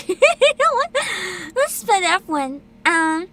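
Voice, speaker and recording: High, bright, bubbly woman streamer. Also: takes it slowly. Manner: excitable, bubbly, giggly. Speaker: livestreamer host. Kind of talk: casual livestream chat with viewers.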